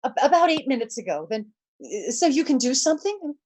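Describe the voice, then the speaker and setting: An actress playing a nervous, demanding invalid wife in 1940s radio suspense style, with a fretful, insistent, stammering delivery. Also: female voice, sits high, big pitch swings, fairly quick, darkish tone. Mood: anxious, flustered.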